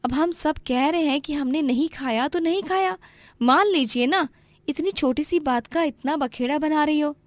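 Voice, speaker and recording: A young, sharp-tongued daughter-in-law played by a woman narrator from a cartoon moral tale. Her voice is fairly high and close, pleading and arguing with indignation. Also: normal speed, no room sound, lightly acted. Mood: indignant. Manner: pleading, argumentative. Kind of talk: animated Hindi moral-story voice acting.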